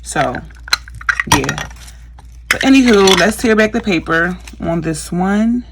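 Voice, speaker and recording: Young woman, craft vlogger, low gravelly voice, chatty and filler-heavy, faint hiss behind. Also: animated, slow. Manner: chatty, many fillers, addresses viewers as "you guys". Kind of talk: casual how-to vlog chat.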